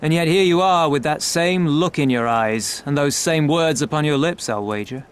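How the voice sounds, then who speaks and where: An actor playing a suspicious, sardonic pirate in a video game, speaking in ornate, formal, mocking phrases. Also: male voice, fairly high pitch, moderate pace, smooth, lightly acted. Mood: wry, mocking. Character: sardonic, suspicious male pirate confederate of middle rank. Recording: loud background music.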